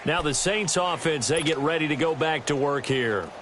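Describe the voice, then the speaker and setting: Male actor as football play-by-play announcer, American accent, slightly high voice, upbeat, clipped punchy delivery, video game commentary, very noisy stadium backdrop. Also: normal speed, close to an everyday voice. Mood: brisk, focused. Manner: punchy, clipped phrases, excited on big plays. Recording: game audio under loud stadium noise.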